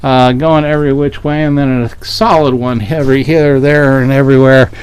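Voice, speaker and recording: A man's voice: mid-range voice, little pitch movement, moderate pace, darkish tone, clean tone, no echo, rough recording. A man from Western Pennsylvania co-hosting a casual fabric show, describing prints and prices with wry jokes. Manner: joking, wry asides. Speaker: fabric shop co-host. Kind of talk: casual live product showcase.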